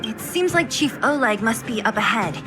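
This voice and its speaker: Woman playing a tough young game heroine, high voice, animated, direct, commanding, caring underneath. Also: normal speed, over music, natural voice. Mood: focused. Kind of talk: video game voice acting.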